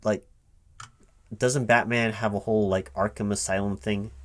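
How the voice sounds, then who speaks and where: A man playing a recruiting interviewer in a one-sided spoken ASMR roleplay, halting and repeating his words in a baffled, exasperated way. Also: fairly low pitch, flat delivery, fairly bright tone. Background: computer keyboard typing.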